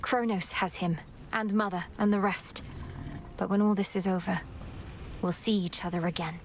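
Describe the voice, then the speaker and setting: A woman's voice: mid-range voice, steady tone, normal speed, slight background noise, close to an everyday voice. A woman playing an earnest, defiant young princess of the underworld in a video game, with formal speech.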